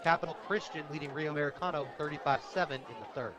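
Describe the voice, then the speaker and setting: A man doing live radio play-by-play commentary at a high school football game. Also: very fast. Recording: dull radio broadcast, stadium crowd behind. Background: stadium crowd noise.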